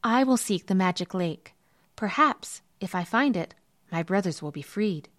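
A woman's voice: medium pitch, lively intonation, moderate pace, a bit bright, no room sound, clean studio sound, close to an everyday voice. A woman voicing a brave, earnest young peasant girl in a storybook tale. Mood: hopeful.

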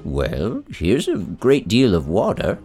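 A man voicing a kindly, eager very old man in a storybook reading, in a gentle, earnest tone. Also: fairly low pitch, wildly swooping pitch, slow pace, soft background music, exaggerated voice.

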